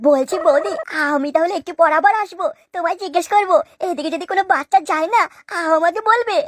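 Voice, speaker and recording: A man's voice: very high voice, quick pace, a bit bright, dry recording, studio quality. A man voicing a lecherous, sly young construction worker with a crude, wheedling manner in a comic cartoon dub. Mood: lustful, wheedling.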